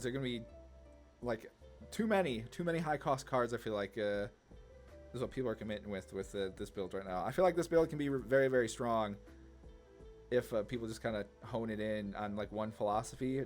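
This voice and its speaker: Casual man thinking aloud over music. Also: mid-range voice. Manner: thinking aloud, hesitant, slangy. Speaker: gaming channel host. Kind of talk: casual card game gameplay commentary.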